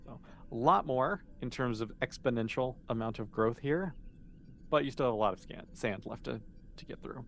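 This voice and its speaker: A man leads a tabletop role-playing game in a casual, encouraging way. His voice sits at an ordinary middle pitch as he explains rules and scenes. A little noise sits behind him. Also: moderate pace, gravelly, a bit bright. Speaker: game master.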